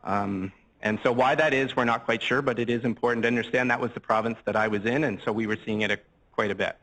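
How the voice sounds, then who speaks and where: A man's voice: mid-range voice, fairly even intonation, fast, a bit bright, low-quality recording. An older Canadian man, a physician lecturing on a microphone, explaining things casually with everyday examples and asides. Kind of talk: conversational medical lecture. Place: lecture room. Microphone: handheld mic, swapped mid-talk.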